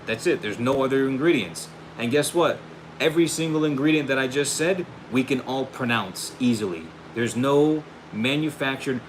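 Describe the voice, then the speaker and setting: A man hosting a casual livestream and pitching his own hair product, upbeat and friendly, saying he is losing his voice. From United States. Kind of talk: casual livestream chat, reading viewer questions. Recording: steady air conditioning hum behind.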